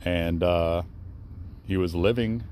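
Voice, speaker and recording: A man's voice: low-pitched, slow pace, slight background noise. A young man vlogging outdoors in a cemetery, talking respectfully in a rambling way with many fillers and self-corrections. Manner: respectful, hushed. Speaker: travel vlogger. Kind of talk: casual vlog monologue at a graveside.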